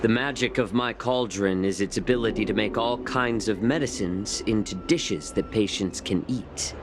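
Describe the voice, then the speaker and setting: An actor playing an unflappable, genial healer-cook in a game, speaking gently with a teasing, explanatory manner. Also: male voice, fairly low pitch, normal speed, noisy background, lightly acted. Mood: patient. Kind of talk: English game cutscene voice acting.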